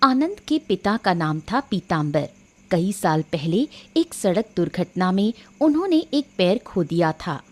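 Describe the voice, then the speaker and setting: A woman's voice: on the low side, sing-song, unhurried. A woman narrating a scripted moral story. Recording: music behind the voice.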